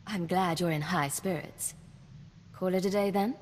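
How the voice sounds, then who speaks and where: A woman playing a confident, wry commanding agent in a video game, with a cool, brisk manner. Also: on the low side, steady tone, natural voice. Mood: light, wry. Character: confident, wry female imperial intelligence agent, commanding officer. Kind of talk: scripted video game dialogue. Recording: long reverb, audible background noise.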